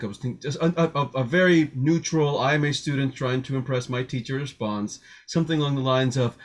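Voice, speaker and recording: A man telling an anecdote in casual group talk, earnest, wordy and explanatory as he goes. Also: mid-range voice. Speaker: voice student, podcast guest.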